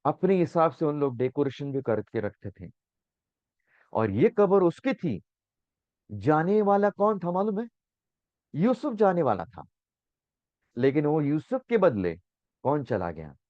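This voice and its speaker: A man with a hoarse throat teaching a Bible sermon, earnest and repeating phrases for emphasis, now and then slipping in English words. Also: on the high side, very animated, a little slow, long pauses, darkish tone, no room sound. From India. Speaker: preacher. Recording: muffled dry recording.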